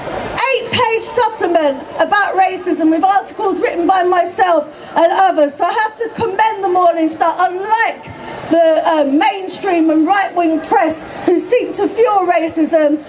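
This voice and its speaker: A woman speaks at an open-air rally, loose and chatty between her shouted lines. Her voice sits very high. Steady outdoor noise runs clearly under her. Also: a little slow. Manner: chatty and laughing asides. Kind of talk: rally speech. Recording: noisy open-air recording.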